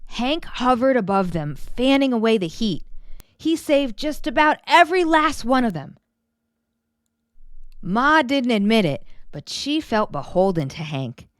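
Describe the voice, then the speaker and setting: Female storybook reader, smooth and clean close sound, expressive delivery with stretched, emphasized words. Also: fairly high pitch, no echo. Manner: animated, dramatic storytelling with drawn-out emphasis. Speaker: children's story reader and host. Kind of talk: expressive children's storybook read-aloud.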